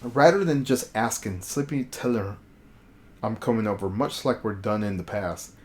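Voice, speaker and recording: A man's voice: lowish voice, moderate pace, a little mellow, a little hiss or hum. A man streaming a game, reading its text aloud with casual asides and sometimes stumbling over the words. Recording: background music behind voice.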